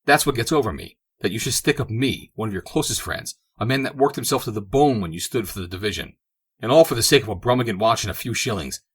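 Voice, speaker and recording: A man's voice: on the low side, animated, fast talker, fairly bright tone, husky, voice pushed up. A man doing an indignant young man. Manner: indignant and emphatic. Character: angry young man confronting a friend.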